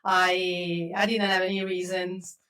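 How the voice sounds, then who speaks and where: A woman's voice: fairly low pitch, level tone, takes it slowly, pushed and bright. Italian-accented woman, distant mic. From Italy (Milan). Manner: chatty, with frequent restarts and fillers. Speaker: podcast guest, systems and automation expert. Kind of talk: casual interview talk. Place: home office, video call.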